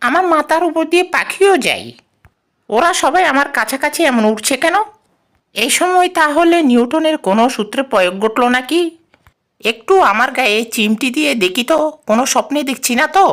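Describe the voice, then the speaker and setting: A performer with a woman-like voice plays an excitable, boastful cartoon cat who talks to itself in dramatic exclamations. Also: high voice. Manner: exclamatory, dramatic, talking to self. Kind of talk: cartoon dub, comic monologue.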